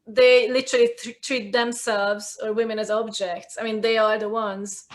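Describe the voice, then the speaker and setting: A woman speaks as a guest in a casual conversation, her voice sitting somewhat high. She is articulate and emphatic and works through her points aloud. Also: little pitch movement, normal speed. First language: Hungarian.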